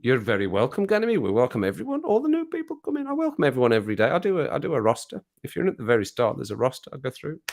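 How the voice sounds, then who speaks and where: A man's voice: medium pitch, huge pitch swings, very fast, few pauses, a little mellow. A man hosting a casual live stream from home over a drink, greeting viewers in the chat and laughing at his own jokes. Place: at home, living alone.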